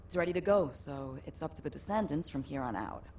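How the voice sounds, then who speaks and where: An actress playing a composed, caring military operations officer in a video game, with a measured, serious, instructive delivery. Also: female voice, low-pitched, lively intonation, darkish tone, a little hiss or hum. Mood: businesslike. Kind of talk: video game mission briefing acting.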